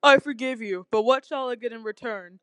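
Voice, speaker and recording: A woman's voice: a little high, fairly quick, fairly bright tone, no echo, natural voice. A woman voicing a commanding male king of the gods in a storybook, given to bellowing and growling. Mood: relenting.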